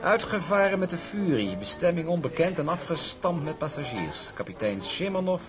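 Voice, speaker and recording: Suave villainous shipping heir, formal and ironic, very animated, radio drama with music underneath. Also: male voice, a little high, normal speed. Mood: smug, calculating. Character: suave, scheming shipping magnate's son, charming and smug.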